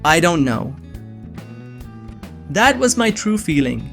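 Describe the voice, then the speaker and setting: A man acting an earnest young fugitive in a suspense visual novel, telling his own story. His voice sits fairly high and moves with lively pitch, carefully spoken and polite, with music playing behind it. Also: slow, creaky, exaggerated voice. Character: framed young man on the run, nerdy, anxious, polite. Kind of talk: first-person scripted narration of suspense fiction.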